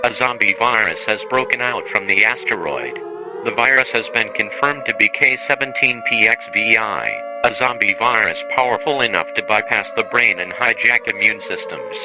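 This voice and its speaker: A man's voice: fairly low pitch, normal speed, over music, close to an everyday voice, rough recording. Formal emergency alert announcer voiced by a man. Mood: grave, official. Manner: formal, impersonal. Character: emergency alert system announcer, flat official adult male. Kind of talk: scripted emergency broadcast announcement.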